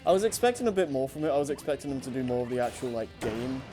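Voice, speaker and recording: A man with a mid-range voice and very varied intonation chats informally as a panelist. Music is clearly heard behind him. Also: mid-range voice, sing-song, very fast. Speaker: panelist on a fan fiction reading show. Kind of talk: casual group chat.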